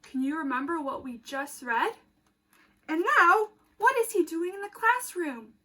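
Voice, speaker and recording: High-voiced woman teacher reading slowly. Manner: animated, emphatic, addressing children directly. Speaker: teacher reading a picture book to children. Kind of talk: expressive storybook read-aloud with questions for young children.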